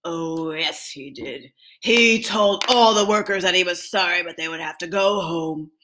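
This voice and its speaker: A woman's voice: on the low side, unhurried, noisy background, natural voice. Woman playing an old grandfather spinning suspenseful tales. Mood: solemn. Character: old grandfather, a gentle, mysterious storyteller.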